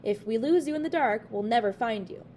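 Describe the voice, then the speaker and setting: A woman voicing a hospitable, motherly woman in a storybook read-aloud, welcoming and gently insistent. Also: on the high side, expressive, a little fast, a little hiss or hum, lightly acted.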